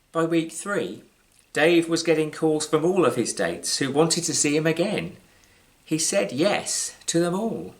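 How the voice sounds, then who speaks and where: A British man with a slightly high voice reads literary fiction as its author. His delivery is measured and wry, leaning on dramatic pauses. Emphasis shifts from phrase to phrase. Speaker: author reading his own short story. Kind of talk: scripted literary storytelling, live reading.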